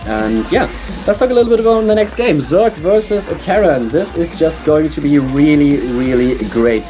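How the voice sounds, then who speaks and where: A man's voice: a little high, expressive, normal speed, warm tone, soft background music. A man from Germany with a German accent, casting an esports stream on his own in a small studio, chatty with many fillers and restarts. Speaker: esports caster. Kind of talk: solo esports commentary.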